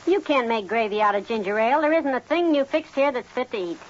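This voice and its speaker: A woman's voice: a little high, animated, fast, close to an everyday voice. An actress playing a long-suffering middle-aged American wife in 1950s radio comedy, affectionately scolding in a dry, motherly way. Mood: exasperated. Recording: dull, noisy 1950s radio recording.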